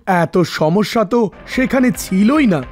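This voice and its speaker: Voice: mid-range voice, lively intonation, takes it slowly, a little mellow. Actor voicing brooding god of death, music behind. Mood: troubled. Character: Yamraj, male god of death, wistful, brooding, advising father. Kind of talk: Bengali animated storybook dialogue.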